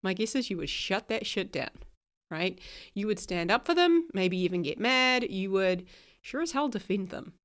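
A woman's voice: mid-range voice, lively intonation, normal speed, fairly bright tone. A woman with a New Zealand accent talks straight into a podcast mic in a frank, chatty way. Her voice is still a bit nasal from a cold. She swears now and then and laughs easily. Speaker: podcast host. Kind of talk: casual podcast monologue.

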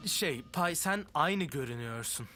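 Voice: medium pitch, animated, a little slow, natural voice. An actor voicing an earnest, eager young dragon warrior in a cartoon dub. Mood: curious. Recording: loud music behind.

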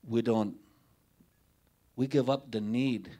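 A middle-aged man telling personal stories as a panelist at a public forum, in a deliberate, halting way with short phrases and pauses, into a handheld microphone. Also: mid-range voice, mellow. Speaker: panelist speaking at a community forum. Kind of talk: unscripted public speech, personal storytelling. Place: indoor forum room, before a banner. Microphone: handheld microphone held near the mouth.

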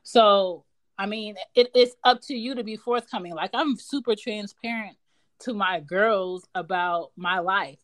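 A woman's voice: mid-range voice, unhurried, a bit bright. A woman in her thirties hosting a podcast, speaking candidly and bluntly, swearing freely. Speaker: podcast host. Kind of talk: casual podcast chat.

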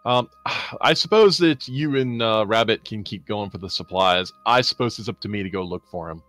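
A man's voice: on the low side, moderate pace, a bit bright, no room sound, faint music behind. A man playing a tabletop horror game, talking through his character's choices in a casual, musing way with sighs. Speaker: player.

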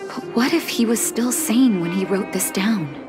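An actress voicing a caring, composed woman doctor in a video game, speaking in a soft, measured, earnest way. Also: medium pitch, natural voice. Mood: pensive, troubled. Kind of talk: scripted video game voice acting. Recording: studio voice over game music.

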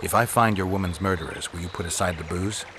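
An actor playing a gruff, stoic male monster hunter in a video game, with terse, deadpan delivery. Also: low voice, fast, slight background noise. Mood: dry, firm. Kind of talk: video game character acting. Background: music.

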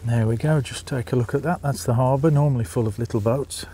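A man with a Birmingham accent strolls through a seaside town, filming on a phone and chatting to his viewers as folks. His voice is mellow and of middling pitch. A faint outdoor hiss sits under it. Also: fast talker. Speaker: vlogger. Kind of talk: walking tour narration.

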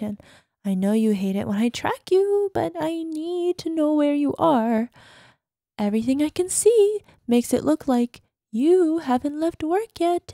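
A woman acts out an anxious, possessive girlfriend leaving voicemails in an ASMR roleplay. Her high female voice is soft-toned and mellow, recorded dry and close. Sugary pleading carries an undercurrent of controlled threat. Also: gravelly, no room sound, exaggerated voice. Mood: frightened, insistent. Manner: sugary pet names, hesitant pleading sliding into controlled threat. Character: anxious, clingy, quietly menacing possessive girlfriend.

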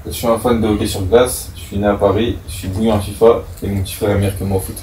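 A young football player giving short, dry answers about himself in a casual guessing game. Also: male voice, on the low side, little pitch movement, fast, mellow, echoey room, recorded from a distance, slight background noise, poor audio. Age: young adult.

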